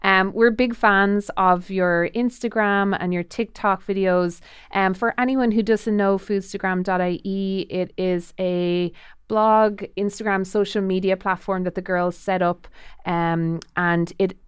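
A woman's voice: mid-range voice, steady tone, measured pace, smooth, no echo, studio quality. A woman hosting a casual interview, chatty and effusive, often trailing off and restarting. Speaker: podcast host.

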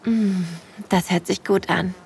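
A woman playing a smitten hiker who narrates her date intimately in a breathy, playful way for an erotic audio drama. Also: medium pitch, fairly even intonation, slow pace, darkish tone, a little hiss or hum. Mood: tender, intimate.

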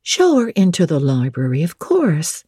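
A woman's voice: deep voice, wildly swooping pitch, normal speed, warm tone, breathy edge, no echo, studio quality, full character voice. A woman voicing a haughty, wilful young aristocratic lady in an audiobook, commanding everyone around her. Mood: imperious, impatient.

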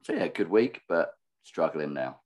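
A man co-hosting a podcast with a husky, worn-out voice, self-deprecating and quick to laugh. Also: a bass voice, sing-song, a little mellow. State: hoarse, husky from overuse. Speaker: podcast co-host. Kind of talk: casual chat.

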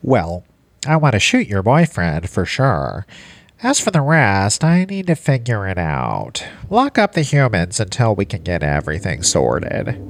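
A man's voice: on the low side, huge pitch swings, a little slow, no echo, exaggerated voice. A man playing a weary, blunt, grumbling alien fleet commander in an audio play, humorless and eager to retire. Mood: grumbling, cold.

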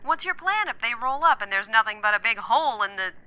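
A woman's voice: on the high side, expressive, fast talker, bright, forceful tone, muffled recording. A woman playing a breezy, teasing officer in a sci-fi audio drama, casual and wry. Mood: skeptical, wry.

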